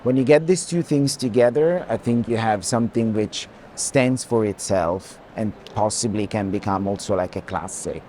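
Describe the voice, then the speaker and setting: A man speaking as an art curator, reflective and halting as he searches for words in unscripted commentary. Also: lowish voice, dark tone. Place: art fair, walking tour. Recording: background noise of a busy hall.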